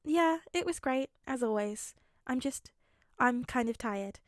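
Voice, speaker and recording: A woman's voice: fairly high pitch, animated, measured pace, no echo, studio quality, voice pushed up. Woman as a subdued, secretive teenage boy. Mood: tired, evasive. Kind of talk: audiobook character acting.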